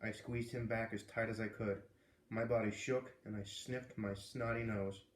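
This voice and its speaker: A man narrating a children's book aloud in an expressive storytelling style. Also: on the low side, moderate pace, echoey room, a little hiss or hum.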